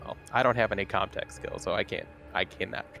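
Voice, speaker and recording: A man's voice: low-pitched, brisk, gravelly, music in the background. A man chatting casually as a player in a tabletop roleplaying game.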